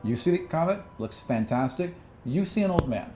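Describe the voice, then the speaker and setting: A man narrating a tabletop role-playing game as game master, improvising in a casual, animated way. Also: a little high, moderate pace, over music. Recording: faint background noise.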